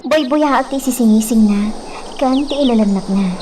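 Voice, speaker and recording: A woman's voice: a little high, normal speed, warm tone, a little hiss or hum, natural voice. A woman with an Ilocano accent playing a sweet young country maiden being courted in a radio drama. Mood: sweet, dreamy.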